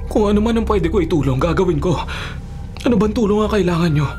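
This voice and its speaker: A man's voice: high-pitched, animated, fast talker, darkish tone, soft background music. An actor playing an earnest, devout young servant man in a Filipino radio drama, respectful and heightened in delivery. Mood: earnest, solemn.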